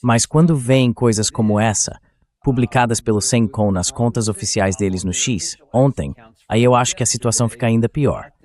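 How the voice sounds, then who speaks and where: A man speaks as the host of a political commentary show, in a translated voice-over. His pitch sits in the middle range for a male voice, and he sets out his points as spoken commentary. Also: moderate pace, a little mellow.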